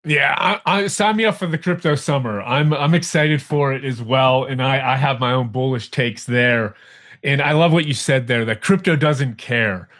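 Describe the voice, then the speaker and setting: A man's voice: medium pitch, normal speed, a bit bright. A man hosting a podcast interview, chatty and casual, asking questions. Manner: casual, chatty, with restarts and laughs. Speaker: podcast host.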